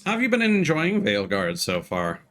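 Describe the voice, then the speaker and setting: A man's voice: fairly high pitch, huge pitch swings, moderate pace, fairly bright tone, a little hiss or hum. A man hosting a livestream, chatting casually and commentating on his game with rambling, wry asides. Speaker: livestream gamer and host. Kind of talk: casual gameplay commentary and chat.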